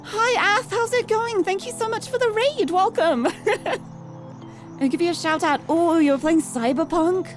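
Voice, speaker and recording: A woman's voice: extremely high pitch, unhurried. A woman from the UK with a British accent, live streaming a game, chatting and reading aloud. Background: music.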